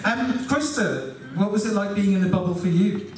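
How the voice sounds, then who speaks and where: A man's voice: high voice, moderate pace, a crowd in the background. A man hosting a live panel Q&A, teasing and laughing with his guests. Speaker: panel host. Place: event hall with audience. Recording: echoey hall, distant mic, audible noise.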